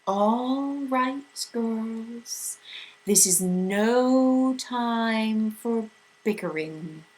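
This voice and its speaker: A woman voicing a sharp, strange, slow otherworldly voice. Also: on the high side, voice pushed up, poor audio. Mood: commanding. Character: eerie disembodied old female being, commanding.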